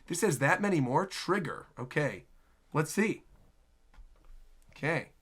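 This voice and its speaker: A man hosting a reaction video, giving chatty, excitable casual commentary on game trailers. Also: a little high, unhurried, a little hiss or hum. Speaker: host, reaction video creator.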